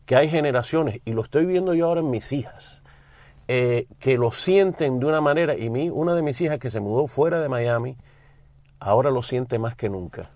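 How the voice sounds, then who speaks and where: A man's voice: mid-range voice, moderate pace, darkish tone, no echo. Middle-aged us-born guy as guest, heartfelt and earnest, dry close sound. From United States. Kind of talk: interview talk.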